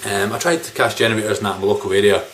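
Low-voiced man chatting casually, noisy room. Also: steady tone, very fast, fairly bright tone. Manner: rambling, informal, mildly sweary. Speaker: video game collector vlogger. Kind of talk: casual chat showing game pickups to camera. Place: small living room indoors. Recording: background noise, room ambience.

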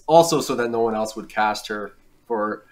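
A man in his forties, a film director, telling stories in a relaxed, casual way as an interview guest. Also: lowish voice, moderate pace, a crowd in the background.

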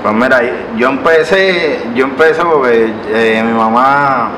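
A man's voice: medium pitch, unhurried. A man in his thirties from Puerto Rico with a Puerto Rican Spanish accent, a barber telling his story as an interview guest, with many hesitations and restarts. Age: 30s. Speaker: barber and business owner, guest. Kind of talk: relaxed storytelling interview answers. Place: living-room style studio set. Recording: roomy sound from a distant mic with background noise.

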